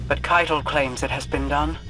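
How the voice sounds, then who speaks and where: An actress playing a solemn, regal queen and seer in a video game, speaking formally and with measured gravity. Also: deep voice, steady tone, music in the background, natural voice, rough recording. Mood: grave. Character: regal, grave female queen and seer advising war. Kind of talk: scripted game dialogue.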